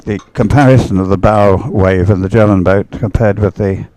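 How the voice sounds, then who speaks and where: Male sports commentator, low voice, soft mellow tone, measured and hesitant live race calls. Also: fairly even intonation.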